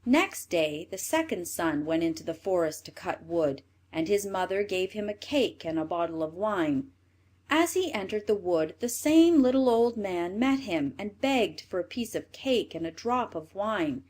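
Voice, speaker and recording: A woman narrates a children's fairy tale with a very animated, swooping pitch. Her voice sits at a middling height for a woman. The delivery is scripted and expressive, as a storyteller reading aloud. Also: unhurried.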